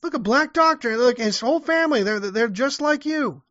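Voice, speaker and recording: A man putting on an eager TV pitchman voice. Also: animated, moderate pace, pushed and bright, dry recording, highs cut off, full character voice. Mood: eager coaxing. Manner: pleading, singsong, repeats "look". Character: overeager male TV voice reassuring viewers.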